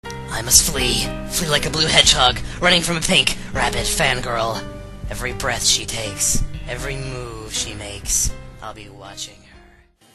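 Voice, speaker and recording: A woman's voice: a little slow, over music. A woman voicing a melodramatically stoic, secretly smitten schoolgirl bodyguard in solemn monologue, for an anime parody. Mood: obsessively solemn. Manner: low, solemn dramatic monologue. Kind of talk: comedic anime parody dub.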